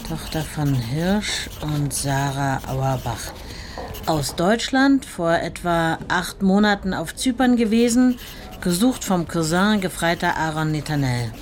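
A brisk office clerk in a radio play. Her voice is fairly low, official and procedural, cutting in and repeating details. Faint room sound runs behind her. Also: expressive. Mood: businesslike. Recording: faint ambient background noise.

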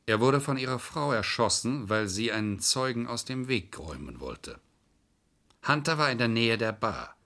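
An actor playing a shrewd, controlled defence lawyer in a German crime radio play, formally polite and insistent. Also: male voice, mid-range voice, expressive, moderate pace, bright, forceful tone. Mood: pointed. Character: shrewd, coolly calculating male defence lawyer.

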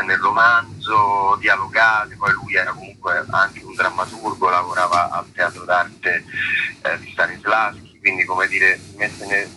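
A man, a stage actor being interviewed, explaining at length in a halting way, searching for words and rephrasing as he goes. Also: on the low side, bright, forceful tone, slight background noise, low-quality recording. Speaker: stage actor presenting his play.

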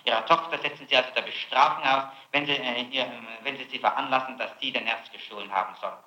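A man speaks as a prosecutor in a formal interrogation, with a forceful, sharp voice. He presses and reproaches as he questions. The old 1960s tape is roomy and echoey, with a dull, lo-fi sound. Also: medium pitch, fairly even intonation, fast. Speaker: public prosecutor conducting an interrogation.

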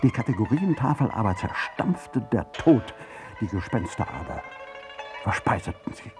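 A man narrating an avant-garde radio play in an expressive, theatrical, declamatory manner. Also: on the low side, normal speed. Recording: music behind the voice.

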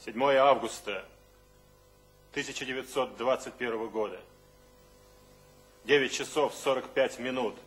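A man's voice: medium pitch, measured pace, pauses often, natural voice. An actor playing a grave, restrained man in a Soviet radio drama.

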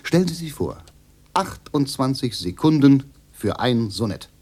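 A man's voice: mid-range voice, very animated, unhurried, darkish tone, slight background noise. A man playing an eager, polite salesman in a radio drama, persuasive and placating. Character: smooth-talking, eager male machine salesman, placating.

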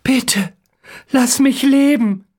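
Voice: medium pitch, normal speed, natural voice. A narrator voicing a terrified captive man in an audiobook, begging urgently and crying for help. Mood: pleading.

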